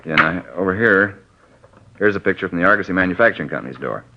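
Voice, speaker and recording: A laconic crime lab technician in an old radio drama. His voice is deep and he talks quickly in a matter-of-fact way. The broadcast sounds dull, with faint hiss. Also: animated, sharp tone, heavily acted. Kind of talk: 1950s radio drama acting.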